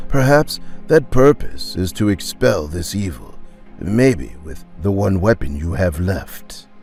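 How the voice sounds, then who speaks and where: A man voicing a solemn, ancient ghostly knight leader who speaks portentously with dramatic pauses, in an audio fantasy drama. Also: lowish voice, darkish tone, voice pushed down.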